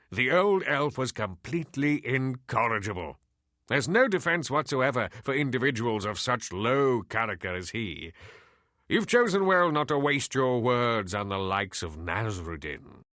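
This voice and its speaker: An actor playing an ancient, reclusive elf sage in a video game, sardonic and weary, with theatrical, deliberate delivery. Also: male voice, medium pitch, big pitch swings, fairly bright tone, no room sound, studio quality. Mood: scornful. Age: older.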